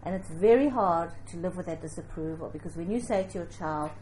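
A woman's voice: fairly low pitch, a little fast, mellow, slight background noise, poor audio. A middle-aged woman with a South African accent, speaking emphatically and directly in an instructive motivational talk with storytelling. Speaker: parenting speaker.

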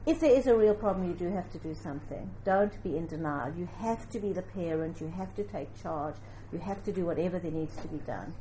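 A woman's voice: on the low side, brisk, few pauses, warm tone, slight background noise, poor audio. A middle-aged woman with a South African accent, speaking emphatically and directly in an instructive motivational talk with storytelling. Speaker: parenting speaker.

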